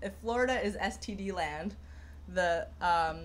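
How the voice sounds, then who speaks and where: A woman's voice: on the low side, a little slow, a little hiss or hum. A young woman hosting a casual livestream, rambling through viewer comments with lots of fillers and restarts. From Persian heritage. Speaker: livestream host.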